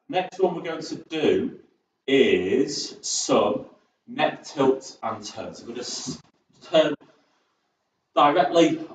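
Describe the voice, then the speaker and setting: Chatty northern English fitness instructor, echoey hall. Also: male voice, fairly high pitch, slight background noise. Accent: northern English, Southport. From England, born in Birmingham, raised in Southport. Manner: chatty, upbeat, rambles between cues, counts down reps. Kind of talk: chair-based exercise class, livestream. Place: large echoey room at a community sports centre. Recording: very echoey, distant and dull.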